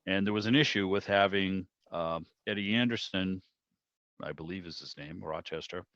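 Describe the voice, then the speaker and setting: A man's voice: deep voice, moderate pace, fairly bright tone. A man chatting casually and ramblingly as host of an online club meeting, thinking aloud as he goes. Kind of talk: casual enthusiastic chat about old radio. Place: indoors on a video call. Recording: muffled, roomy video-call audio.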